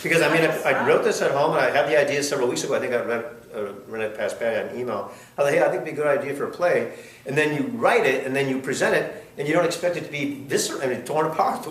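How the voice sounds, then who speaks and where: A man talking informally as an amateur playwright at a play-reading group, halting and repeating himself. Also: medium pitch, fairly bright tone. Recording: echoey room, faint noise.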